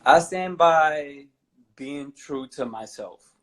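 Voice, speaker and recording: A man's voice: a little high, animated, takes it slowly, a bit bright. A man in his twenties from New Orleans, a guest on a talk show, speaking casually and rambling with lots of "you know" and "I feel like". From New Orleans, USA. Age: 20s. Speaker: guest, fashion model.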